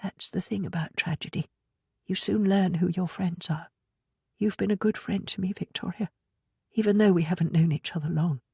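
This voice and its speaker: A woman voicing a grieving widowed mother in an audiobook, husky, hushed and halting as she confides. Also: lowish voice, no echo, studio quality, exaggerated voice. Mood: soft, intimate. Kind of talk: audiobook character dialogue.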